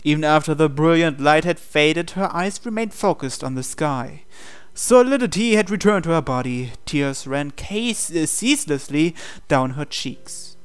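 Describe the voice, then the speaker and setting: A man's voice: fairly high pitch, animated, a little slow, clean tone, faint music behind. A man reading visual novel narration aloud as host of a game playthrough. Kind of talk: scripted narration read aloud.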